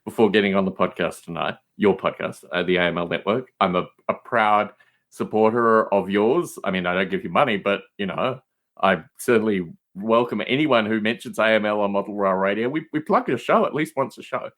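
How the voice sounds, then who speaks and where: A man's voice: fairly low pitch, a little fast, fairly bright tone. A man chatting casually as a podcast guest, telling stories in a jokey, digressive way. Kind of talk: casual storytelling chat.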